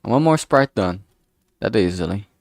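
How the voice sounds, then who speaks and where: A man's voice: fairly low pitch, animated, normal speed, gravelly, slight background noise. A man casually talking through his digital art work on a livestream, murmuring each step as he goes. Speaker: streamer making a visual novel. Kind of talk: casual livestream commentary while drawing.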